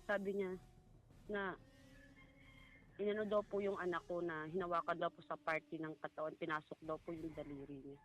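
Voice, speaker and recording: Halting Filipino woman over music. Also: fairly low pitch, fairly even intonation, muffled recording. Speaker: guest recounting a family story. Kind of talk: personal account in conversation.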